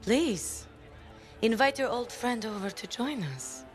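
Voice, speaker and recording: A woman's voice: a little high, slow pace, faint music behind. A woman playing an imperious, menacing smuggler crime boss in a video game, speaking with formal, grand deliberation. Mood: commanding. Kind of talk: dramatic video game cutscene acting.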